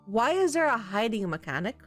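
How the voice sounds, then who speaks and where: A woman giving expressive, sweary commentary as she plays a horror game, reading some of the text aloud. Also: a little high, fairly quick, soft background music. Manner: expressive, sweary, reacting out loud. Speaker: video game streamer.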